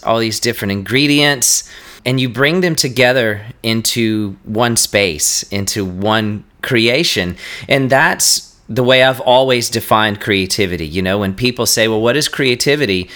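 A man's voice: mid-range voice, unhurried, bright, forceful tone. A man hosting a podcast interview, talking in an earnest, enthusiastic and rambling way. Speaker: podcast host. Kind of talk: casual interview chat.

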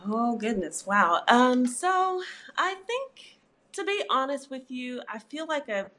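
A woman's voice: sits high, big pitch swings, takes it slowly, fairly bright tone. A middle-aged woman therapist and author, guest in an interview, talking in a reflective, conversational way with frequent "you know" fillers.

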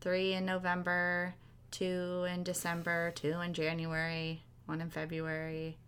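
A woman in her late twenties co-hosting a podcast, chatting and telling stories in a quick, friendly way. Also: fairly low pitch, completely flat delivery, fairly bright tone, clear, resonant tone. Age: 20s. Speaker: podcast co-host. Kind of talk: casual chat.

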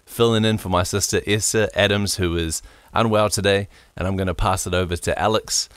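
A young man with a very low voice and an accent that blends New Zealand and American speech. He hosts a podcast, relaxed and quick to laugh, and often restarts his sentences. The sound is dry and close, with no room around it. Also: clear, resonant tone, dry recording. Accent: mixed New Zealand and American. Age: young adult. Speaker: podcast host. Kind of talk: casual podcast chat.

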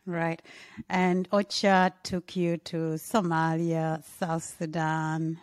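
A woman's voice: lowish voice, little pitch movement, slow, no room sound, clean studio sound. A woman hosting an interview, asking questions in an affirming, conversational way with many fillers. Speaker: interviewer, host.

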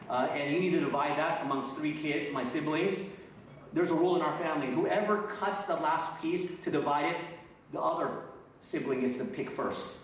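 A man's voice: a little high, a little hiss or hum. Middle-aged male guest preacher, echoey hall. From Philippines, raised in Texas. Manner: conversational, with many fillers and restarts. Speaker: guest pastor. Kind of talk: sermon. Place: church sanctuary. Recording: distant mic in an echoey hall.

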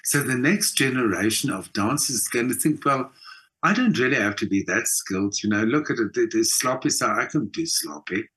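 A man's voice: mid-range voice, lively intonation, moderate pace, bright, forceful tone. A man in his sixties with a South African accent, speaking reflectively and emphatically in a conversational interview. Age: 60s. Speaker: interview guest, writer and performer.

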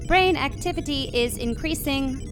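A woman playing a pompous, stern alien pilot and high administrator with formal, grandiose speech in a sci-fi comedy audio drama. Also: normal speed, over music, close to an everyday voice. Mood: stern, clipped.

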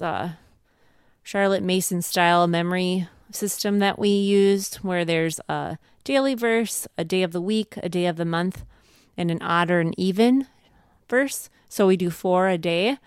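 A woman in her thirties with a smooth, clean voice at a medium pitch. She shares advice as a co-host in an earnest, conversational style, recorded with hardly any room echo. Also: fairly even intonation, a little slow, clean studio sound. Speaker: co-host, homeschooling mother. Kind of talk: casual advice chat.

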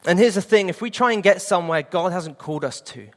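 A man's voice: sits high, fast, big-room sound. A man in his late twenties preaching a sermon and sharing an earnest personal testimony. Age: 20s.

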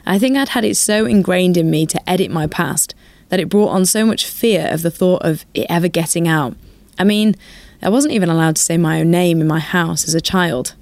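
A woman's voice: lowish voice, brisk, few pauses, darkish tone, no room sound. A woman with a cold, sounding bunged up and sniffly as she narrates a documentary in a candid, personal way. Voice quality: nasal, congested. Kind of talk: reflective voice-over narration.

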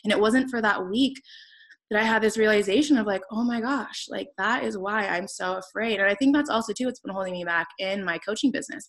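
A woman's voice: mid-range voice, a bit bright. Earnest rambling woman around thirty. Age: 30s. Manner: earnest, rambling, many fillers and restarts. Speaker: podcast host and coach. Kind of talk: casual podcast conversation.

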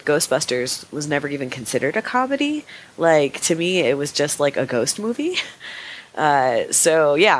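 Young female podcast co-host, sick and raspy, rambly casual delivery, faint background noise. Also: low-pitched. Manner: chatty, rambling with many fillers.

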